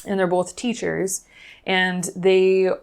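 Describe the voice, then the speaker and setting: Thoughtful woman with a lowish voice. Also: completely flat delivery, slow, vocal fry, smooth. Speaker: interview guest. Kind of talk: conversational interview, recounting cases.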